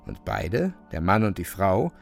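A male narrator reads a literary radio drama with music underneath. His voice is low and gravelly, delivering scripted narration. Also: wildly swooping pitch, unhurried.

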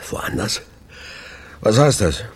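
An actor playing a blunt, sceptical early-retired police inspector in a radio play, speaking colloquially. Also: male voice, lowish voice, very animated, slow pace, breathy edge, exaggerated voice. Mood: sceptical, incredulous.

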